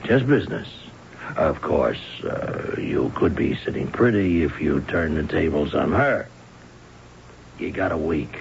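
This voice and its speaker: An actor playing a cold, menacing older bookie in a 1970s radio drama, gravelly, blunt and unhurried in his threats. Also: extremely low pitch, animated, exaggerated voice. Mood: quiet menace. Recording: dull, noisy old radio recording.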